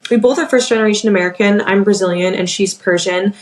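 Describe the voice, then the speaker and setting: A woman's voice: medium pitch, fast talker, low-quality recording. A young woman from Philadelphia, a DJ and singer, talking casually as a podcast guest with lots of filler words. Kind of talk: casual interview talk.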